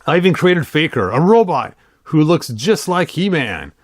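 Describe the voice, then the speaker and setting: Guy doing a grandiose skull-faced storybook villain, scheming and boastful, pitched up a bit, dry sound. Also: big pitch swings, normal speed, husky, no echo. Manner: grandiose, declaiming.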